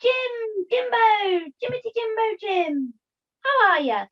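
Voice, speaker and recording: A woman's voice: very high-pitched, expressive, slow, exaggerated voice. A young woman voicing a playful, cheeky, carefree puppet in an amateur play on a video call. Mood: cheeky, breezy. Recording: muffled video call audio.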